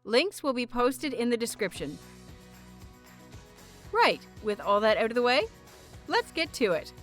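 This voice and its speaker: A woman hosting and narrating a fiction audiobook in an expressive, carefully enunciated storytelling style. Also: fairly high pitch, measured pace, faint music behind. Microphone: close microphone, dry recording.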